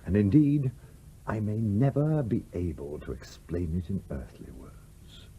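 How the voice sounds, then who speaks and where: Gruff, husky ghostly sea captain. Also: male voice, fairly low pitch, big pitch swings, unhurried, mellow, exaggerated voice. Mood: quiet, firm. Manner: commanding, exasperated, old-fashioned nautical oaths. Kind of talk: radio play acting.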